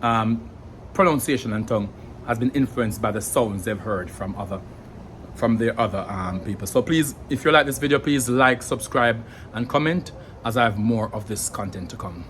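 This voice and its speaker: A man's voice: lowish voice, moderate pace, fairly bright tone, a little hiss or hum. A young adult man with a Jamaican accent, explaining in a casual, thoughtful way to camera, with many fillers and repeated words. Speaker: language vlogger.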